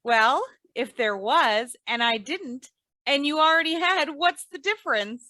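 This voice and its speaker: An actress playing a sharp-tongued, scolding wife in old-time radio comedy style, chiding and wry. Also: female voice, high voice, animated, measured pace, no room sound, full character voice.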